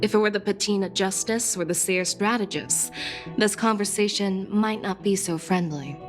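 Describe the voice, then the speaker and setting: A woman's voice: mid-range voice, moderate pace, close to an everyday voice. A woman voicing a confident, candid female general in a video game, assured, formal and articulate. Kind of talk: scripted video game dialogue. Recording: game music behind the voice.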